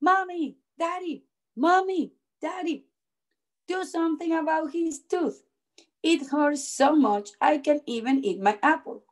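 A woman's voice: high-pitched, unhurried, voice pushed up. A woman voicing a little boy in pain from a storybook, whining and pleading. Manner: yelling, pleading, repetitive. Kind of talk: storybook read-aloud.

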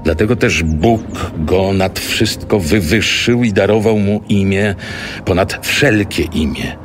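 A man's voice: low voice, animated, takes it slowly. A man giving a solemn scripted reading of a biblical epistle. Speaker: reader of scripture. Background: music.

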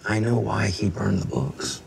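A grim, gravelly seeker of a lost writer. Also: male voice, lowish voice, animated, unhurried, slight background noise, exaggerated voice. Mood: ominous. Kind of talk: film trailer dialogue. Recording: trailer audio under loud music.

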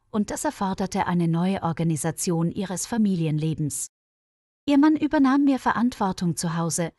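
A woman reading a scripted story aloud for language learners, with measured, clearly phrased delivery. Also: fairly low pitch, a little mellow, dry recording, studio quality. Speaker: narrator of graded German stories for learners.

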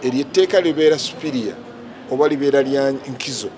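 A male legislator answering a press interview outdoors into handheld reporter microphones, forceful and explanatory. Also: mid-range voice, fast. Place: outdoors by a stone wall. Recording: outdoor crowd noise.

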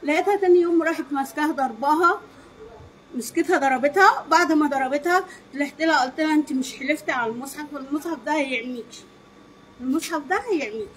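A woman's voice: sits high, very fast, rough recording. A middle-aged woman with an Egyptian accent gives an emphatic eyewitness account in a street interview, acting out quoted dialogue as she goes. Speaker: neighbour giving eyewitness account. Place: outdoors against a brick wall in a street. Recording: faint outdoor background noise.

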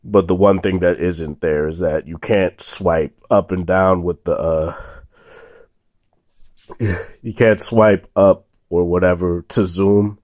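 A man's voice: sits low, slow pace, no room sound. A young man giving a casual, rambling tech review at home, his voice a little sore after being ill. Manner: relaxed, rambling, many fillers and restarts. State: slightly sore voice after illness. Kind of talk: casual hands-on phone review. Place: indoors at a table, off camera.

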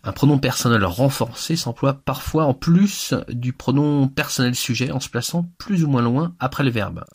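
A man's voice: mid-range voice, normal speed, husky. A man teaching a language lesson over slides, explaining step by step with fillers and restarts.

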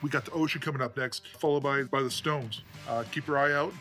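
A man's voice: medium pitch, fairly even intonation, brisk, sharp tone, faint music behind, lightly acted. A man playing an encouraging racing crewmate who coaches his friend in a tabletop roleplay. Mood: urgent, reassuring.

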